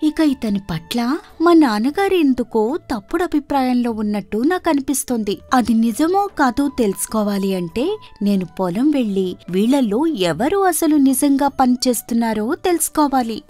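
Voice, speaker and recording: A woman voicing a sharp, caring young daughter in an animated moral story, inquisitive and concerned. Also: high-pitched, normal speed, soft background music. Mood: suspicious.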